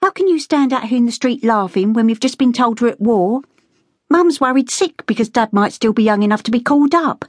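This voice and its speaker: Determined young woman, nineteen, outspoken, read by a woman narrator, high and dry. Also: lively intonation, a little fast, dry recording. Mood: scolding. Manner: insistent, raised pitch.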